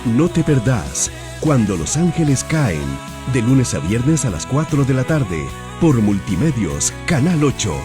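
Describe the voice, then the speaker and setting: Promo voice guy, lively swoopy pitch, music under it. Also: measured pace. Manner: dramatic announcer delivery. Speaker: promo announcer. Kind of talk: TV promo voice-over.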